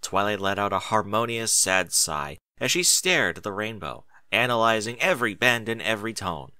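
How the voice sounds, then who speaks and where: A man reading a scripted story as a narrator, in an expressive and deliberate dramatic style. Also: lowish voice, clean tone, no echo, studio quality. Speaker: narrator of fan fiction audiobook.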